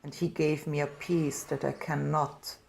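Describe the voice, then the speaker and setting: A woman in her fifties with a Serbian accent, calling in to a livestream and earnestly telling her story in halting, non-native English. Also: low voice, little pitch movement, gravelly, darkish tone, a little hiss or hum. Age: 50s. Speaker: caller. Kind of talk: livestream call-in debate. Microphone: remote call audio.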